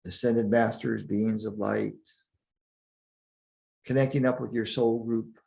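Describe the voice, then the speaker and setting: A man guiding a sleep meditation in a soothing, deliberate manner, leaving long pauses between short phrases. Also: fairly low pitch, fairly even intonation, gravelly, a little mellow. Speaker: meditation guide.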